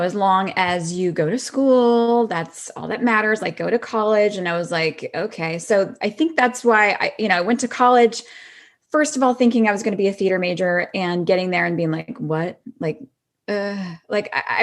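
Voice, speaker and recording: A woman speaking as a guest in a casual chat, telling personal stories in an informal, halting way peppered with "like". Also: medium pitch. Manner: informal, halting, heavy use of "like". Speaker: podcast guest, actress.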